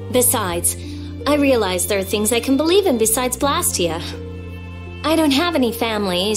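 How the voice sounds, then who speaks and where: Animated, prickly teenage girl. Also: a little high. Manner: blunt, halting when embarrassed. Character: prickly teenage girl researcher, awkwardly affectionate. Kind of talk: video game cutscene acting.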